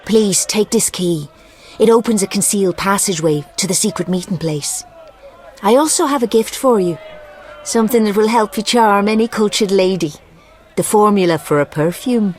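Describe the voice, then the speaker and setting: A woman's voice: mid-range voice, moderate pace, darkish tone, natural voice. A woman playing a flirtatious, worldly gambler with a coy, teasing manner, in a video game. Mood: conspiratorial.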